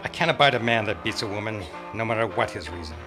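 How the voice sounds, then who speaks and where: A man's voice: fairly low pitch, animated, fast, natural voice. A man playing a world-weary, hard-boiled private eye narrating a noir radio drama. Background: loud music.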